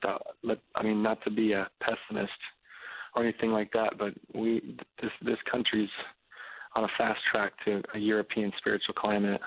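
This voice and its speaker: A man in his thirties talking earnestly as a radio guest, preaching with many stammered restarts. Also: lowish voice. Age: 30s. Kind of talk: phone-in radio interview. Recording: tinny phone line.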